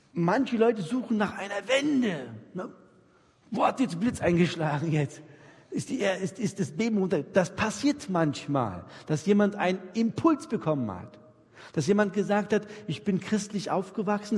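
A man's voice: high voice, a little mellow, slightly rough. A man leading an interactive church workshop, coaching his audience emphatically, repeating himself and often checking that they follow. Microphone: microphone for a live recording. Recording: reverberant hall with long echo.

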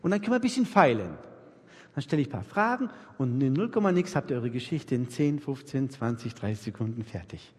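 Animated, husky man teaching in a hall. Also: mid-range voice, fairly quick. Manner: coaxing, emphatic, repeats himself, rhetorical check questions. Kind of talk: interactive church workshop teaching. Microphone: microphone for a live recording. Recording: reverberant hall with long echo.

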